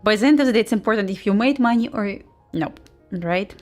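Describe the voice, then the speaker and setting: A woman's voice: medium pitch, lively intonation, brisk, darkish tone, soft background music. A woman with a Russian accent hosting a casual trading livestream, chatting informally and commentating in slightly non-native English. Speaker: host, futures day trader.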